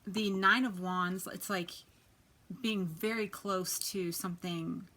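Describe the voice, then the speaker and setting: A soft-spoken woman with a quiet voice, chatting casually as she gives a tarot reading, often dropping to a near whisper. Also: fairly low pitch, fairly even intonation, takes it slowly. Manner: chatty, many fillers, drifts to near-whisper. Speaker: tarot reader. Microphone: quiet home recording.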